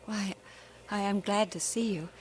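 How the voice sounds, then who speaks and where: Woman voicing a shy young student, soft, hesitant, stammering, mid-pitched voice, faint hiss, old radio play. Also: fairly even intonation, unhurried, close to an everyday voice. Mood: flustered. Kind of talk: 1950s radio drama acting.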